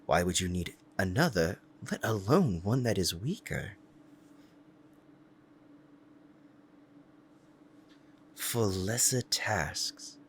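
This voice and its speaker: A man's voice: sits low, expressive, unhurried, slight background noise. A man playing a powerful, proud demon devoted to a master, speaking in a formal, courtly and menacing way in an ASMR roleplay drama. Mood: jealous, disbelieving.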